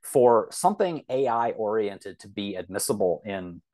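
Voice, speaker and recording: A man with a fairly low voice speaks as a guest on a podcast. He explains in an informal, conversational way, often thinking aloud and feeling for the right word. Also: animated, moderate pace, darkish tone. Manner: thinks aloud, searching for words. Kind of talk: casual explanatory podcast talk.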